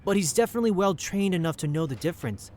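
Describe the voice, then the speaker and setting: A man's voice: high voice, lively intonation, quick pace, darkish tone, a little hiss or hum. A man acting in an audio drama as an eager, detail-minded police analyst, wordy and technical. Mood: earnest.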